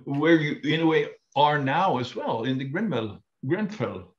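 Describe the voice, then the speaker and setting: A man's voice: fairly high pitch, animated, a little slow. A male interviewer asks short follow-up questions and laughs easily on a video call. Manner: brief prompts and ready laughter. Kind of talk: conversational interview. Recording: dull, roomy video call audio.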